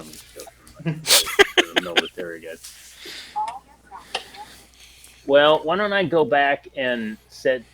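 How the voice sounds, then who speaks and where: Low-voiced man in offhand game-table chat. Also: bright, forceful tone, a little hiss or hum, poor audio. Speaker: player in a tabletop role-playing game. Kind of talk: casual table chat, planning character actions.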